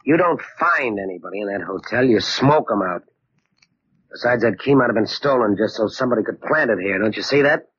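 An actor playing a tough, impatient, wisecracking investigator with a husky voice in a 1940s radio drama. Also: male voice, fairly low pitch, expressive, a little fast, a bit bright, slight background noise. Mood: hard-boiled. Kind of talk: 1940s hard-boiled radio drama acting. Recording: dull 1940s radio recording.

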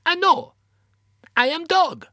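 Man putting on a high-pitched Russian astronaut dog. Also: lively intonation, sharp tone, dry recording, clean studio sound, full character voice. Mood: friendly, formal. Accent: exaggerated Russian. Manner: slow, formal, broken English. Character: friendly female Russian astronaut dog, politely formal.

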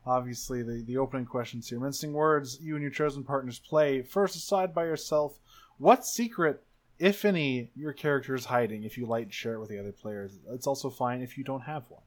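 A man with a medium-pitched voice takes part in a tabletop roleplaying game. He describes his character's actions deliberately, pausing mid-sentence to think. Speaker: player in an actual play tabletop game. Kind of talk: improvised tabletop roleplay and rules reading.

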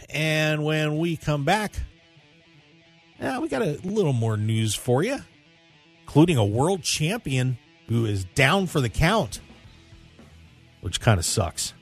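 A man hosting a radio show in a casual sports-talk style. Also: mid-range voice. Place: radio studio.

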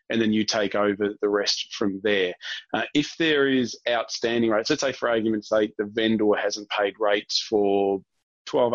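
Australian male expert guest, low voice, halting explanatory delivery, close dry recording. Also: no echo, lo-fi sound. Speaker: guest real estate director. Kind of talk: informal explanatory interview answers.

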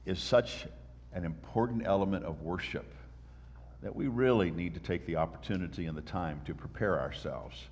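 A pastor with a congested voice from a sinus infection welcomes the congregation in church and leads them in prayer with earnest, steady delivery. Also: male voice, low voice. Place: church sanctuary. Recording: long church-hall reverb.

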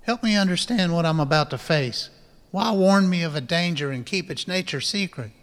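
A man's voice: high voice, animated, normal speed. Man acting a wary doctor in heavy reverb. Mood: probing, urgent. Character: wary, irritated male doctor probing a rival. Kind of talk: radio drama acting. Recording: long hall-like reverb.

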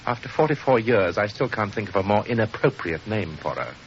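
An actor playing a cynical, witty, middle-aged theatrical producer with a pompous, sardonic manner in an old radio drama. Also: male voice, lowish voice, animated, fairly quick. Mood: sardonic, amused. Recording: muffled, noisy old radio recording.

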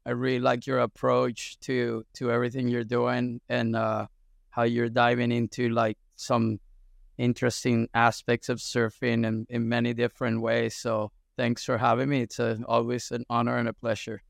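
A middle-aged man from Venezuela, a surf coach talking as a podcast guest in a relaxed storytelling way with many fillers. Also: mid-range voice, moderate pace, gravelly, no room sound, clean studio sound. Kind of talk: casual interview talk.

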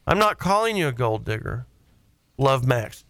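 A man's voice: mid-range voice, sing-song, normal speed, a bit bright, dry recording. A man hosting a radio show with casual, joking banter and a teasing manner, recorded in a studio.

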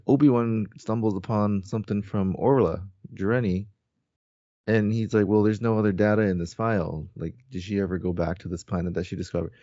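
Man, lowish pitch, casual podcast co-host, rambling loose phrasing, dry lo-fi recording. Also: darkish tone, no room sound. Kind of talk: casual fan discussion. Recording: dry, dull lo-fi audio.

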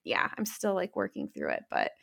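A slightly hoarse woman co-hosting a casual podcast, rambling along in conversational chat. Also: on the low side, wildly swooping pitch, moderate pace, fairly bright tone. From Pennsylvania. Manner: rambling, many "like" fillers. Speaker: podcast co-host.

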